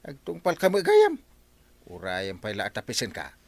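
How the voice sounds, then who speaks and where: A bossy village captain with an Ilocano Filipino accent, in a comic radio drama. His pitch swings wildly as he scolds and exclaims, and the sound is close and dry. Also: huge pitch swings, moderate pace, no room sound, full character voice. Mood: bossy approval. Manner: exclamatory, scolding, full of interjections.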